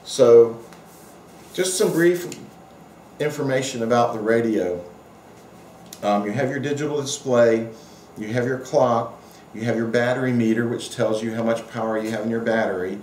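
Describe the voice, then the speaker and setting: A man giving a casual, unscripted product demonstration as a hobbyist reviewer, rambling and halting with afterthoughts. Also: mid-range voice, darkish tone. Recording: echoey room with faint background noise.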